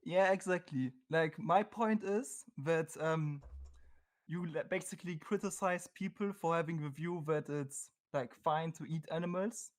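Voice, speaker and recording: A man's voice: a little high, a little slow. A man from Germany with a German accent, a non-native English speaker debating on a call, hesitant and searching for words. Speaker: caller.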